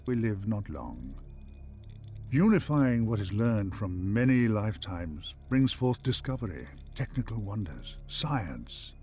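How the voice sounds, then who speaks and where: An ancient machine intelligence acted by a man speaks in a low voice, with theatrical, measured grandeur. He is a wistful philosopher of a science fiction game, lofty and deliberate in every phrase. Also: very animated, dark tone, faint music behind, heavily acted. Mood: grandiose, brooding. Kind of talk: theatrical scripted game voice acting.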